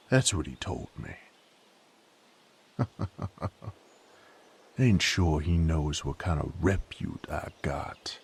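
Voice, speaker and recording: A gruff, world-weary knight played by a male actor with an extremely deep, gravelly voice. He talks very slowly in rough, rustic, brooding phrases, as in an intimate audio drama. Faint but clear outdoor noise sits behind him. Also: full character voice. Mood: wry, guarded. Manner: rough rustic folksy speech, brooding, halting. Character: sadist knight, gruff former carnival drifter, weary and brooding. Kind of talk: dramatic roleplay audio monologue.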